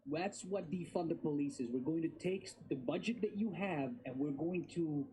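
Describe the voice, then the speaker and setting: A man's voice: on the high side, moderate pace, mellow, slight background noise, low-quality recording. A man in his thirties from Bosnia and Herzegovina, a guest talking casually and emphatically, repeating his words. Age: 30s. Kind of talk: casual interview talk.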